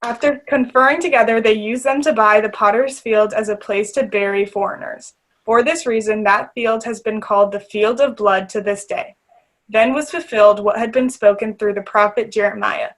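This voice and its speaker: High-pitched woman narrating scripture. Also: steady tone, normal speed, a bit bright.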